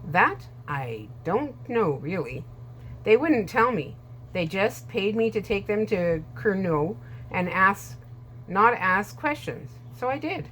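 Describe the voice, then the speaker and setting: A woman's voice: mid-range voice, very animated, slow pace, a bit bright, slight background noise. A woman voicing a frightened male boatman in a storybook reading, speaking in a stammering, nervous way.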